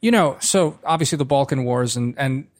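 A man from Croatia co-hosting a podcast, talking in a casual, halting way with many restarts as he thinks aloud. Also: medium pitch, lively intonation, a little mellow, dry recording, studio quality. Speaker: podcast co-host. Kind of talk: casual discussion.

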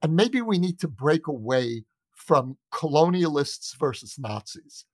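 A man's voice: fairly high pitch, big pitch swings, a little slow, dry recording, studio quality. A man from the United States speaking as an interview guest, making an earnest conversational argument with emphatic, repeated phrasing. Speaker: interview guest, journalist and author.

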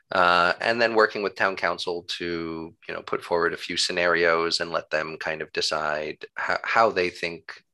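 A man with a deep, bright voice and plenty of vocal fry talks as an interview guest. He explains his work at length, in an upbeat and measured conversational way. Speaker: interview guest, town government employee.

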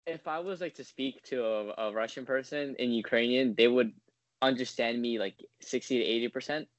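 A man's voice: mid-range voice, normal speed, dry recording. A man in his twenties, a guest in a casual interview, talking in a rambling way full of ums and likes. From United States. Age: 20s.

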